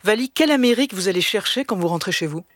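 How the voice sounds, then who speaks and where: A woman radio host who talks fast and chatty, firing off long, winding questions at callers. Her voice is close and dry on a studio mic. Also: medium pitch, animated, no echo. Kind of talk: radio call-in hosting.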